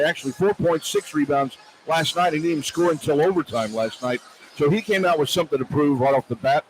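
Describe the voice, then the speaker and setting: A man's voice: fairly high pitch, slight background noise, poor audio. A man doing live play-by-play radio commentary at a basketball game, recapping as an announcer on an arena broadcast.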